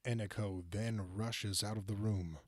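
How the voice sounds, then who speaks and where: A man playing the composed narrator of a fantasy audio drama, measured and formal in scripted delivery. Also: lowish voice, steady tone, dry recording, clean studio sound.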